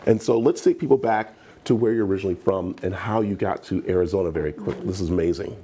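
Husky-voiced upbeat TV host man. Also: deep voice, fast talker, dark tone. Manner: upbeat, chatty, enthusiastic. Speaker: TV morning show host. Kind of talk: morning TV interview. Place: TV studio set, indoors.

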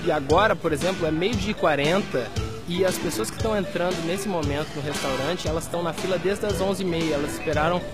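A young man reporting on location to camera, explaining a community canteen in a clear, steady way. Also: fairly high pitch, quick pace, loud music behind the voice. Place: outdoors on a city street and inside a canteen.

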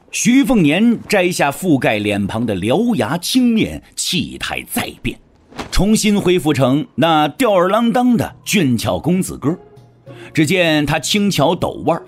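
A man narrating a wuxia audio drama as a scripted, dramatic storyteller. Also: a little high. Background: faint music.

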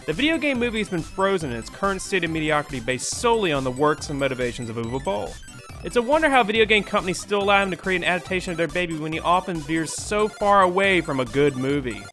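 Animated man delivering scripted game-movie commentary. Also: on the high side, quick pace, faint music behind. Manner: sardonic, emphatic, opinionated. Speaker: video game movie critic, show host. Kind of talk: scripted video essay commentary. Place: small furnished bedroom, indoors.

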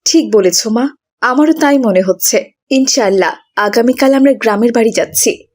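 A woman's voice: fairly high pitch, moderate pace, a little mellow, lightly acted. A woman playing a caring, agreeable mother in a cartoon, talking soothingly. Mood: reassuring. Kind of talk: Islamic cartoon voice acting.

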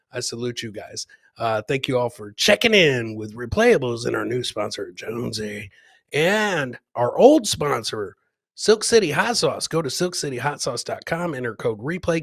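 A man's voice: mid-range voice, fairly bright tone, no echo. A middle-aged man hosting a comedy podcast, chatting casually with live viewers into a close studio mic. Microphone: close studio mic on a boom.

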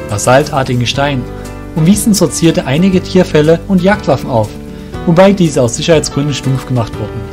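A man reading scripted narration for a fantasy audio drama. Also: mid-range voice.

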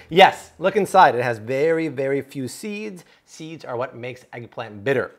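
An upbeat man in his thirties cooks in a home kitchen, joking with the people behind the camera. His voice sits in an everyday middle pitch. Soft music and pan sizzle run quietly behind him. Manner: energetic, jokey, chatty to the crew. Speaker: cooking show host. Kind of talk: upbeat cooking demo.

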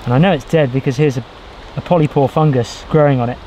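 Brief: man in his 30s, mid-pitch, relaxed hands-on explainer outdoors, faint hiss behind. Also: fast talker, rough recording. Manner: explains while working with his hands, restarts often. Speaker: bushcraft vlogger. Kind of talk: casual outdoor how-to narration. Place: outdoors in a pine forest.

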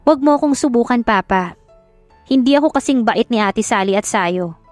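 A woman voicing a bitter, defiant young bride with a sharp-tongued, emphatic delivery in an audio drama. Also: fairly high pitch, lively intonation, normal speed, no echo, faint music behind, natural voice. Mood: threatening.

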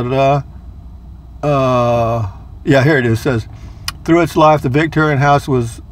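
An older man, narrating a casual vlog from inside his car, chatty and rambling, repeating words playfully. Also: medium pitch. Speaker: vlogger.

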